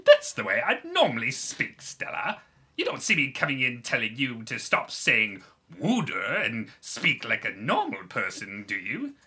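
A man's voice: medium pitch, wildly swooping pitch, measured pace, bright, forceful tone, slightly rough, exaggerated voice. A man playing a pompous, snobbish brother-in-law in a comedy audio drama, with an affected posh accent and theatrical manner. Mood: haughty.

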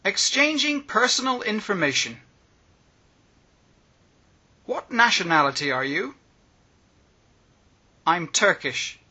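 A man reads travel phrases aloud, one at a time, at a very slow, deliberate pace. His voice is high and very bright, with a lively, rising and falling delivery. A faint huskiness sits under the tone. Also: breathy edge. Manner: reads each phrase slowly with pauses. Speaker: language teacher.

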